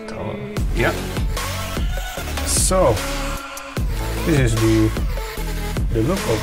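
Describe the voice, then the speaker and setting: A young man whose first language is Indonesian explains his editing in a slow, halting way, often apologizing and correcting himself. He has a middle-pitched voice and speaks in a small room at home, close to the camera, with music playing underneath. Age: young adult. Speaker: drone video creator. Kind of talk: casual screen-recorded editing tutorial. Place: small room at home, washing machine running.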